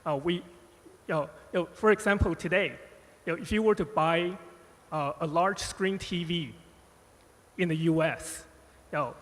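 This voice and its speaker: A man answers on a panel, his voice a touch high and his speech halting, padded with filler phrases. The sound carries a long conference-hall reverb. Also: a bit bright. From born Taiwan, raised United States. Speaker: panelist, company strategist.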